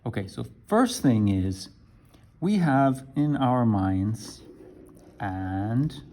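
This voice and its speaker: Slow, mellow-voiced English teacher man. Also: mid-range voice, sing-song, a little hiss or hum. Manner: slow, deliberate teaching with frequent "right?" checks. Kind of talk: explaining a language lesson to camera.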